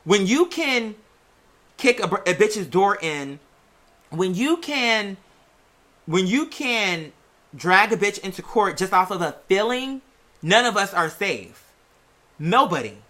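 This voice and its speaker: A podcast host chatting casually and sassily to listeners, with theatrical, drawn-out emphasis on key words. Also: medium pitch, sing-song, bright, forceful tone. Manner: sassy, chatty, addresses listeners as "girl" and "y'all". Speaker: show host and music artist. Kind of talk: casual conversational monologue to listeners.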